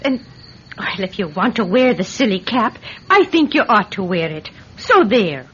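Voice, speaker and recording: An animated young country girl. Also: mid-range voice, moderate pace, husky. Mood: admiring. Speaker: actress playing a country girl. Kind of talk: classic radio drama acting. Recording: muffled, hissy vintage radio recording.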